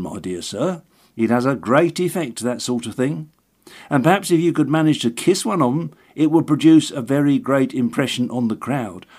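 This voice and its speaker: A man voicing a fussy, excitable little election agent who confides in everyone as his dear sir. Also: a little high, voice pushed up.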